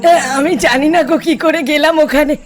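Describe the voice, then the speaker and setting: Woman acting high-voiced, stammering, pleading boy. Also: fairly even intonation, moderate pace, music in the background, lightly acted. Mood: pleading, frightened. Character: frightened, pleading boy, bewildered and innocent.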